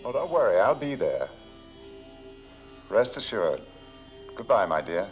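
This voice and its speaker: Suave lecherous lawyer, lowish animated voice, pompous, theatrical, muffled horror comedy soundtrack. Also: male voice, unhurried, soft background music. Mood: courteous, puzzled. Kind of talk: horror comedy film acting.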